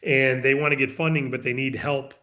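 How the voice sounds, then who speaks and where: A man's voice: mid-range voice, fairly even intonation, fairly quick, pushed and bright. A man presenting and explaining in a friendly way, answering questions on a business video call. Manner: friendly, explanatory, talks in long runs.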